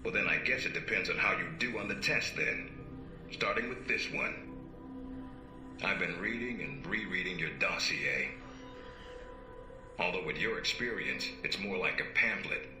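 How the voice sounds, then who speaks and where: Man acting as a stern spy boss, very low voice, measured, wry, video game cutscene, roomy sound, music behind. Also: natural voice. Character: stern, dry-witted middle-aged male spy agency handler.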